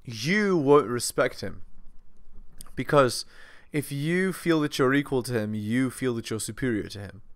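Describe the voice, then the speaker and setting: A man's voice: mid-range voice, lively intonation, unhurried. A man reacting to a clip with scornful, mocking commentary. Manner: scornful, dry, mocking asides. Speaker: reaction channel host.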